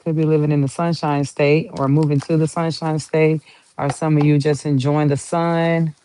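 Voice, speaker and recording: A woman's voice: low-pitched, level tone, moderate pace, dark tone. Southern lady doing a tarot reading, rambly and playful, just chatting away. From Southern United States. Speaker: tarot reader.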